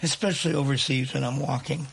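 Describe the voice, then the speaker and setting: A man's voice: mid-range voice, fairly even intonation, quick pace, vocal fry, fairly bright tone, husky. A man from the United States chatting casually as a guest on a language lesson, with brief joking interjections. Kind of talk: casual chat.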